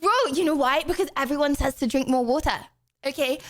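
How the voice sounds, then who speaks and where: A woman's voice: sits high, a little fast. A young woman streaming live, chatting with her viewers in a bubbly, laughing way, full of "bro" and "okay". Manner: excitable, laughy, slangy with lots of "bro" and "okay".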